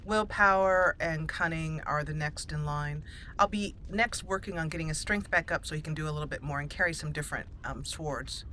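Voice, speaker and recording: Bright-voiced woman gaming host. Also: low-pitched, fairly quick, a little hiss or hum. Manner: chatty, explanatory. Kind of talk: casual gameplay commentary.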